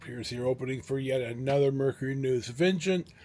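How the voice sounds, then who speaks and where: A middle-aged man telling a personal story casually to his viewers as a vlog host, rambling and halting with dramatic pauses and emphasis. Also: mid-range voice, dark tone.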